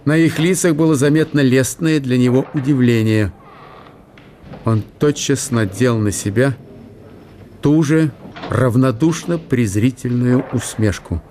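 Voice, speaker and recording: A man's voice: medium pitch, a little slow, warm tone, a little hiss or hum. A man narrating a radio drama in a scripted, storytelling style.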